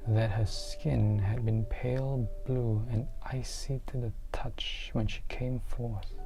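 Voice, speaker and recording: Hushed, very slow ASMR storybook reader. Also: mid-range voice, muffled recording. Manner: soft-spoken, hushed, breaking into near-whispers; deliberate, with frequent pauses between phrases. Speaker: book reader.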